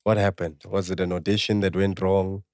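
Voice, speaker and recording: Male host, low-pitched, playful interviewer, close dry sound. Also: little pitch movement, moderate pace, darkish tone, dry recording. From South Africa. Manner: playful, teasing, encouraging. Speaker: podcast host. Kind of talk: casual interview.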